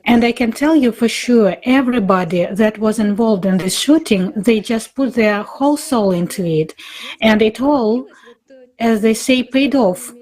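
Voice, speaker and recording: A woman speaking informally in a panel talk, in a halting, effusive way. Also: fairly high pitch, a little mellow, poor audio.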